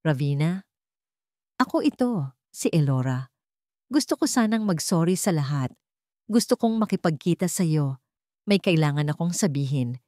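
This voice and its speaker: A nervous, deceitful woman accomplice as a woman narrator plays her speaks in an audio drama. Her lines are lively in pitch, fairly low, and recorded dry. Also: normal speed, long pauses, no room sound. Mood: feigned remorse. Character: nervous, deceitful female accomplice feigning remorse. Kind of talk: dramatic audio-story dialogue.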